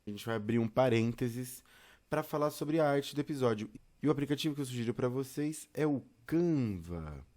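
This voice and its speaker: A man's voice: mid-range voice, animated, normal speed, darkish tone. A man with a Brazilian accent giving an informal step-by-step video lesson in a chatty, encouraging way, addressing learners directly. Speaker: video lesson instructor on podcasting.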